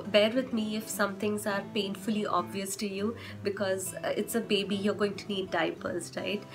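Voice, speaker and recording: A young woman vlogging with chatty parenting advice, her voice hoarse from a cold, often stopping to rephrase with fillers. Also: mid-range voice. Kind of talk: casual advice vlog.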